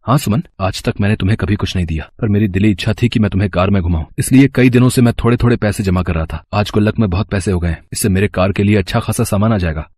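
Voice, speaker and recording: A man's voice: lowish voice, fast talker, hardly any pauses, a little mellow, breathy edge, no room sound. A man playing an earnest poor mechanic husband. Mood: earnest, hopeful. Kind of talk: Hindi moral-story cartoon dubbing.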